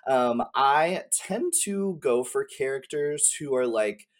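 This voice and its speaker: A man talks casually as a guest on a gaming podcast. His voice is mid-pitched, and he chats loosely with frequent filler words. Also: lively intonation, takes it slowly. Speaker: podcast guest, game streamer. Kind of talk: casual chat.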